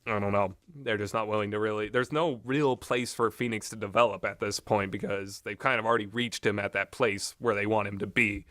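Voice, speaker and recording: A man's voice: on the low side, fairly even intonation, fairly quick, few pauses, a bit bright, no echo, clean studio sound. A man giving a rambling, unscripted and casual video game review, readily amused as he talks. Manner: rambling, often breaks into amused laughter.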